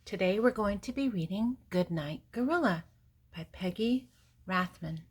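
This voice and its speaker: An older woman with a husky voice reading a picture book aloud to young children, explaining gently and simply with pauses. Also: mid-range voice, lively intonation. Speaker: storybook reader and host.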